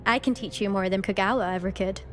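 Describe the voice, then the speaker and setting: A woman's voice: mid-range voice, steady tone, fast talker, a bit bright, slight background noise, natural voice. A woman playing a boastful, blunt, competitive teenage witch in a video game. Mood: cocky. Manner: cocky, blunt, dismissive. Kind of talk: scripted video game dialogue.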